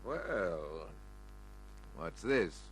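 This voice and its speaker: Low-voiced, wary crime boss. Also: male voice, very animated, extremely slow, soft background music, exaggerated voice. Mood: challenging. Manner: guarded, probing questions. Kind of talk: old-time radio crime drama acting. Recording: humming, noisy old radio recording.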